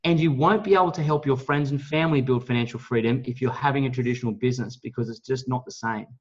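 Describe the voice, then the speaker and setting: The sound is a little dull and lo-fi, like a recorded call. A man with a mid-pitched voice delivers a motivational business pitch. He is emphatic and blunt, selling hard and not shy of a mild curse. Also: fairly even intonation, fast talker. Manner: emphatic, blunt, salesy, mildly profane. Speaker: network marketing leader, guest speaker on a team call.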